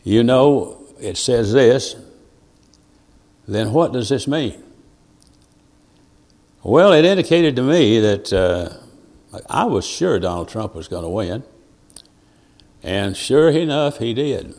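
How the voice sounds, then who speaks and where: Older male preacher, lowish voice, informal and meandering Bible teaching with frequent asides. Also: a little mellow. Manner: rambling, folksy, halting, full of asides and self-told anecdotes. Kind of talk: informal sermon to a congregation.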